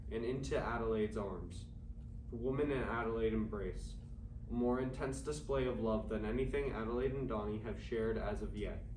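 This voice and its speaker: Man narrating a play in a noisy, roomy space. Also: mid-range voice. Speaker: stage directions reader and actor in a staged reading. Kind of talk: dramatic scripted narration of a play. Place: room at a live staged reading, far from the mic. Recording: roomy, distant mic, noisy room.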